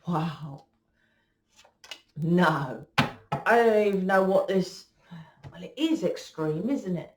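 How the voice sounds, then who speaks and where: A woman's voice: on the low side, expressive, slow, long pauses, slight background noise. A woman giving a rambling tarot reading, blunt and swearing freely. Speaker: tarot reader. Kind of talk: casual tarot reading monologue. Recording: echoey room.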